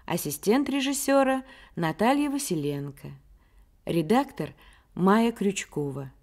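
Slow, formal female radio announcer. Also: mid-range voice, lively intonation, a little mellow. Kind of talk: formal scripted credits announcement.

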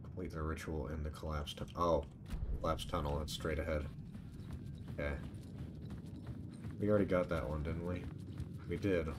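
A man's voice: rumbling low, steady tone, moderate pace, pauses often, noisy background. A man doing casual, sweary let's-play commentary, muttering to himself as he plays. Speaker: streamer playing a horror game. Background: horror game ambience.

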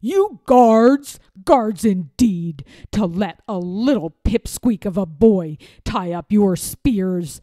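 A woman's voice: medium pitch, animated, takes it slowly, hardly any pauses, warm tone, no echo, studio quality. A woman voicing a bossy old lord from a storybook, scolding and commanding in an angry manner.

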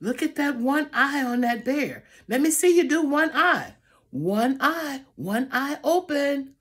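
A woman's voice: high-pitched, expressive, slow, a bit bright. An older woman reading a picture book aloud to children, theatrically drawing out phrases and adding asides to the listeners. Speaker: storybook reader. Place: small room at home, indoors.

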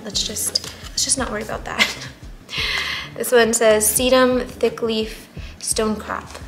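A young woman talks her way through a repot, her voice stuffy, nasal and a little worn out. She sits a bit high for a woman, hedging and murmuring asides to herself. Clear music plays underneath. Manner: chatty, self-doubting, many fillers and murmured asides. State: congested, nasal, voice partly gone. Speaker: plant vlogger. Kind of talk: casual repot-with-me chat. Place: indoors at a table.

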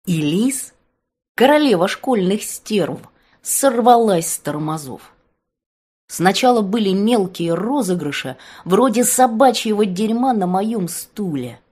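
A woman narrating an audiobook in a dramatic first-person style, expressive, with deliberate pauses. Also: mid-range voice, husky.

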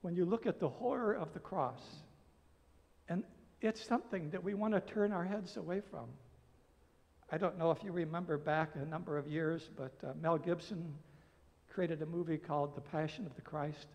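An American man in his sixties preaching a sermon as a pastor, earnest and emphatic, phrasing deliberately with pauses. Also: a little high, a little mellow. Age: 60s. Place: church sanctuary, at a pulpit. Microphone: gooseneck pulpit microphone. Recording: reverberant church hall.

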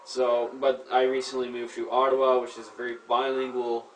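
A young Canadian man talks in a relaxed, casual way from a sofa, often restarting his sentences. The voice sounds distant from the camera mic. The room is echoey, with a faint hiss behind him. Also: medium pitch, brisk. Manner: relaxed, explains at length with frequent fillers and restarts. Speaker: guest. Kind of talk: casual chat. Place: living room, indoors, sitting on a sofa. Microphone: far camera.

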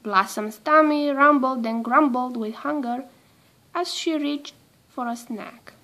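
A woman reading a children's storybook aloud in an expressive, measured storytelling manner. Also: high-pitched. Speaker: storybook reader.

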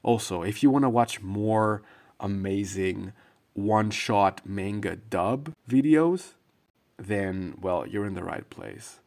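Slow, low-voiced man presenting. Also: a little mellow. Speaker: video channel host and narrator. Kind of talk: scripted presenting.

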